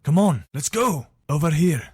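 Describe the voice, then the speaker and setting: A man's voice: on the high side, expressive, moderate pace, breathy edge, no echo, studio quality. A man playing a dutiful, weary but decent imperial soldier in a fantasy video game, speaking earnestly in short, commanding phrases. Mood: urgent, commanding. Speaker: voice actor for a game mod.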